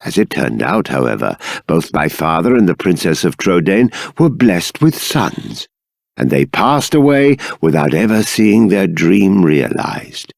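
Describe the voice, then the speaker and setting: A man's voice: low voice, sing-song, a little slow, gravelly, a little mellow, dry recording, clean studio sound, full character voice. An actor playing a stern, dignified middle-aged king in a video game, with formal, regal, emphatic diction. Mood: grave, measured. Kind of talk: dramatic game character dialogue.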